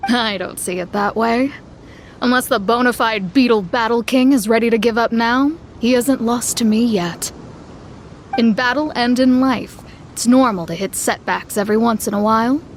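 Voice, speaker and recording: A woman plays a courteous young challenger in an anime game. The voice is fairly high, polite and measured, with earnest, frank determination and faint noise behind it. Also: lightly acted.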